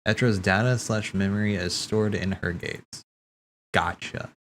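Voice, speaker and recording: A man giving casual game commentary as a streamer, thinking aloud in a halting, stammering way. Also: fairly low pitch, fairly even intonation. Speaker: streamer hosting a cutscene watch party. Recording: clear background noise.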